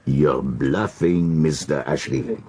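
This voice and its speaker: A cool card player in a radio drama. His voice is very deep and unhurried, full of measured menace and taunting. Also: dark tone.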